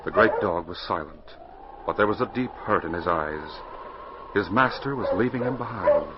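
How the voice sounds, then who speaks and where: A man's voice: deep voice, normal speed. A man narrating an adventure serial in dramatic, scripted 1940s radio style, on a muffled, noisy old recording.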